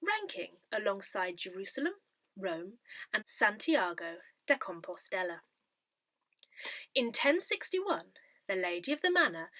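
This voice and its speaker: A woman reading a scripted newsletter aloud in a measured, clearly phrased way. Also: medium pitch, fairly bright tone, no echo. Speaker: reader of an audio newsletter.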